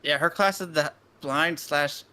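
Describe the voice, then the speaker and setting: A man's voice: fairly high pitch, unhurried, pushed and bright, clean tone. A man chatting casually on a game stream with crude, jokey banter.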